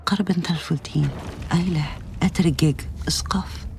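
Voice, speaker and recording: Rapid, low-voiced North African woman. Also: fairly even intonation, a little mellow, a little hiss or hum. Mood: grave, narrating. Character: grave local North African woman recounting wartime hardship. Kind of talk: dramatic scripted narration. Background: music.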